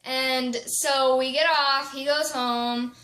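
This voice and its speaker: A woman with a fairly high voice tells a story slowly in a roomy, echoing space. She rambles and fills her sentences with "like". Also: fairly even intonation, pushed and bright. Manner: rambling, leans heavily on "like". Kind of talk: casual storytelling chat. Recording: roomy echo.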